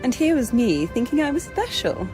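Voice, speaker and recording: An actress playing a young, well-bred British lady with an educated English accent, outspoken and expressive, in a video game. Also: fairly high pitch, moderate pace, music in the background. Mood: polite, chatty. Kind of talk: video game dialogue acting.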